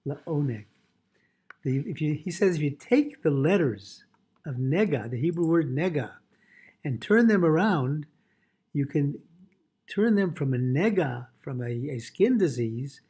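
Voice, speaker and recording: A man with a husky voice teaching a religious lesson as a rabbi, haltingly reading from a text, translating and repeating phrases as he explains. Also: medium pitch, warm tone.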